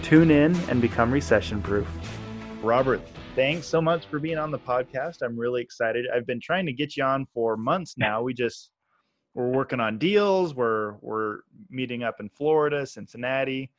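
A man's voice: medium pitch, moderate pace, faint music behind. A man hosting a podcast, introducing the show and interviewing a guest in a friendly, upbeat way. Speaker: podcast host.

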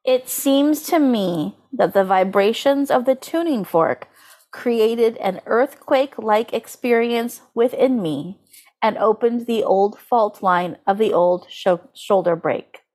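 A woman's voice: on the high side, slow, darkish tone. A woman co-hosting a podcast in casual comedic chat, talking informally and incredulously, sometimes reading aloud with drama. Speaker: podcast co-host.

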